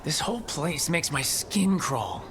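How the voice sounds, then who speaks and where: An actor playing an earnest, determined adventurer in a fantasy game, steady and resolute. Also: male voice, a little high, measured pace, heavy background noise. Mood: guarded.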